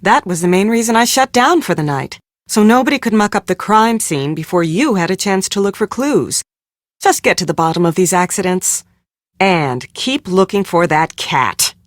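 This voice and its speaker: An actress playing a brisk, sharp-tongued, exasperated woman on a film crew in an adventure game, speaking with sarcastic emphasis. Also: mid-range voice, lively intonation, no room sound, clean studio sound, close to an everyday voice. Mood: brisk, bossy. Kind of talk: scripted game character dialogue.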